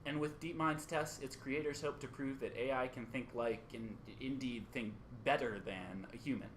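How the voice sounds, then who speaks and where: A man's voice: mid-range voice, normal speed, slight background noise, close to an everyday voice. A man acting as an earnest, conciliatory radio co-host in an amateur radio play, placating in manner. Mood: presenting.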